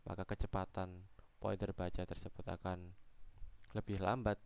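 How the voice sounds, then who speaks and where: Young male student presenter. Smooth, lowish voice, halting step-by-step technical explanation. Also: little pitch movement, dry recording. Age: young adult.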